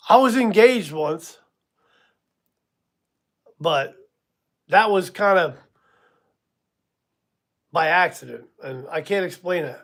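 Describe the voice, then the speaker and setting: Chatty sixties man, fairly high voice. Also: animated, extremely slow, long pauses, fairly bright tone. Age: 60s. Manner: casual, rambling, chuckles at himself, many ums. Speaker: livestream host. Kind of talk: live stream chat with viewers.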